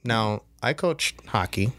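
A man's voice: on the low side, expressive, takes it slowly, fairly bright tone, no echo. A man, a podcast guest, chatting casually and telling stories in an informal way. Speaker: podcast guest, amateur angler. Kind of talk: casual chat, storytelling.

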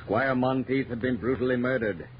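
A man's voice: mid-range voice, moderate pace. A man narrating a scripted 1930s radio drama in a dramatic, declamatory manner. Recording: dull, noisy 1930s radio recording.

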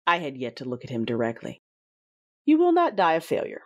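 An actor playing a cruel, vengeful Sith lord, narrating an audio drama in a deliberate, commanding, menacing way with a husky voice. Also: mid-range voice, wildly swooping pitch, muffled recording. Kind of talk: first-person audio drama narration with dialogue. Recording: dramatic music behind the voice. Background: music.

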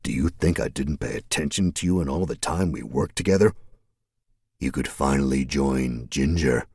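A man voicing a sinister, manipulative male cult leader who speaks in a slow, smooth, menacing way. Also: very deep voice, a little mellow, dry recording, studio quality, pitched below the performer's own voice, full character voice. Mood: sinister, coaxing.

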